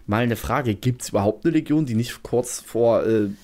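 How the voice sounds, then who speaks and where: A man's voice: mid-range voice, moderate pace. A man co-hosting a podcast, chatting casually, joking and asking questions. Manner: relaxed, joking, asks questions. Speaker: podcast co-host.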